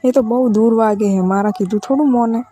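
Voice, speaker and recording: A woman's voice: a little high, fairly quick, warm tone, breathy edge. A woman with a Gujarati accent playing a demanding village in-law who wheedles insistently in a casual phone conversation. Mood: coaxing, stubborn.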